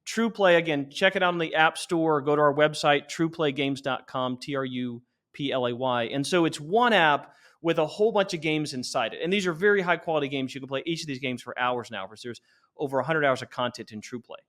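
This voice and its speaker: A man in his forties with a slightly high voice, talking as a guest in an interview. He explains things earnestly and conversationally, often doubling back to restart a sentence. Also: brisk, a bit bright. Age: 40s. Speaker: interview guest, company founder.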